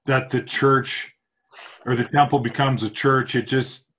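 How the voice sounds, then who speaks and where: A man from Canada calls in with a mid-range voice. He speaks slowly, halting and trailing off. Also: mid-range voice, little pitch movement, a bit bright. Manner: slow, halting. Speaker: caller. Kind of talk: casual chat.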